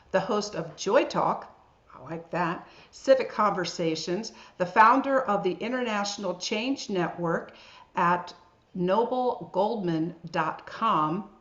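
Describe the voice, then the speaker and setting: A woman's voice: medium pitch, a bit bright. An upbeat woman hosts an online gathering in a chatty way. Her voice sits in the middle range and comes across dull and lo-fi. It echoes around the room as it plays over a video call. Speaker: host. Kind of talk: welcoming introduction on a video call.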